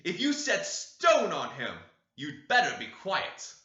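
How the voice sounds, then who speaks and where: A male reader voicing a surly, rough young farm man who retorts bluntly. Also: high-pitched, wildly swooping pitch, pitched above the performer's own voice, exaggerated voice. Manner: blunt, slow, forceful retorts. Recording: dull, roomy home recording.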